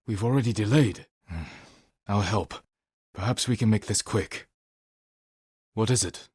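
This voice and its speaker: An actor playing a stoic, honorable samurai warrior in a video game, speaking in a measured, formal and grave way. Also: male voice, lowish voice, darkish tone, slightly rough, no echo, clean studio sound. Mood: measured, resolute. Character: stoic, honorable samurai warrior, adult man, solemn and protective. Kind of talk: dramatic video game acting.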